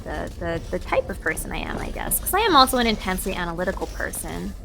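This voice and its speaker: A woman in a casual interview chat while playing a video game, thoughtful and halting with playful asides. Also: lowish voice, very animated, creaky. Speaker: interview guest, voice actor. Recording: music playing behind the voice.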